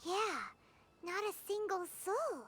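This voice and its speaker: A woman voicing a small, excitable, childlike fairy companion with a squeaky, exclamatory delivery in a video game. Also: takes it slowly, music in the background. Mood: mild relief. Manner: refers to self in third person, exclamatory.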